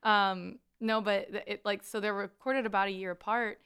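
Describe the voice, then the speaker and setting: A woman's voice: mid-range voice, fairly even intonation, moderate pace, a bit bright, smooth. A woman musician who is a guest on a radio show, talking in a friendly, rambling way with a slight grit in her voice. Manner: friendly and rambling, with frequent laughs. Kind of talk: casual interview chat. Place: radio studio.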